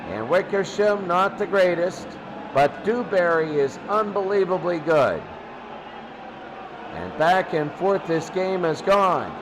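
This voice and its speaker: A man gives off-the-cuff football score commentary as a host. His voice sits high and he goes slowly, in short clipped fragments with pauses, over clear background noise. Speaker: sports studio host.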